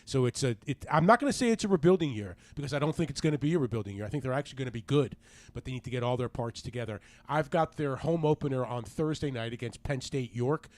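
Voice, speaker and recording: Quick-talking American man on sports radio. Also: medium pitch, darkish tone, dry recording. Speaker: radio show co-host. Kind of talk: casual sports talk.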